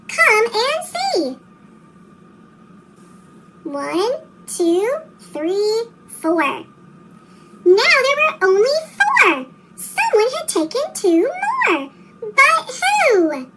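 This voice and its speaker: A storyteller with a pitched-up chipmunk effect, reading a children's story in an animated, expressive way for young listeners. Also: background noise. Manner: animated, expressive, slow read-aloud delivery for young children. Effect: chipmunk-style pitched-up voice. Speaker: storybook reader. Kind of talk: scripted children's storybook narration.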